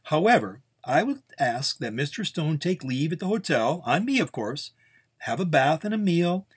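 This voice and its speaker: A man's voice: fairly high pitch, big pitch swings, moderate pace, slightly rough, voice pushed up. A man voicing a polite, timid go-between in a Western story, formal, careful and placating. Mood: nervous, courteous.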